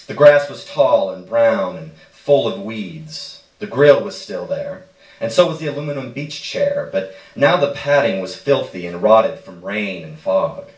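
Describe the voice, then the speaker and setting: Low-voiced man reading, echoey and distant. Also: lively intonation, a little slow. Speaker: story reader. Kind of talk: live literary short story reading. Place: indoor live stage reading. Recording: echoey room, distant microphone.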